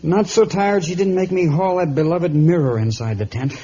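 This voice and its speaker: A man acting a good-natured but worried young traveler in an old radio adventure serial, plain-spoken, expressive and boyish. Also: on the high side, moderate pace, natural voice. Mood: weary, wry. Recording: muffled, noisy vintage radio recording.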